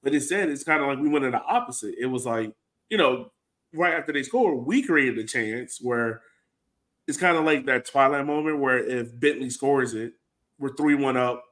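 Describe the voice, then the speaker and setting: Man, medium pitch, podcast host, casual sports chat, conversational, filler-heavy phrasing. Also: moderate pace, a bit bright. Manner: conversational, heavy use of "like" and "you know". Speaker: soccer fan podcast host. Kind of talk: casual sports talk, breaking down a match.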